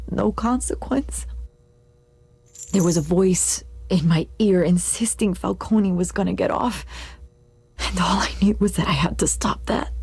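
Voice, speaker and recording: Husky, breathy, shaken policewoman. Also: mid-range voice, a little mellow, no echo, full character voice. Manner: halting, slipping into near-whispers. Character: drugged female police sergeant, shaken and remorseful. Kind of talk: video game voice acting.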